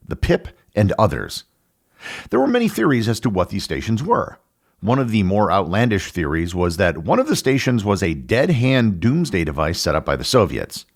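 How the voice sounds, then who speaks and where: A middle-aged American man hosting a podcast, reading scripted educational narration in a measured, explanatory way. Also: on the low side. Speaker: podcast host.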